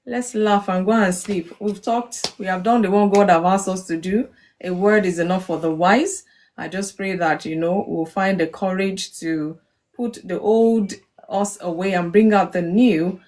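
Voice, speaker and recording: Female live-stream host, Nigerian accent, mid-pitched, playful and coaxing, conversational. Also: darkish tone. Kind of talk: casual live group chat with encouragement.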